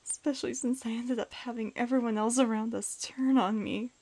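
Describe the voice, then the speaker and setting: Woman acting a bitter, sullen outcast, higher-pitched. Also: fairly even intonation, a little fast, darkish tone. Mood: despairing. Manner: sullen, dismissive. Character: bitter, despondent outcast young woman, guarded and curt. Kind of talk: fan comic dub acting.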